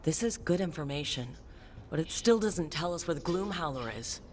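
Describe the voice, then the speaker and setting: An actress playing a composed, wry fantasy game hero, with a dry and direct delivery. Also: female voice, low voice, lively intonation, normal speed, darkish tone, a little hiss or hum. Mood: pragmatic. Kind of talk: video game voice acting.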